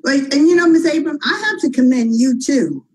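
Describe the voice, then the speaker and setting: A woman's voice: high-pitched, a little fast, poor audio. A woman co-hosting an interview show, enthusiastic and full of praise, laughing easily as she talks. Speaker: co-host. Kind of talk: casual interview chat.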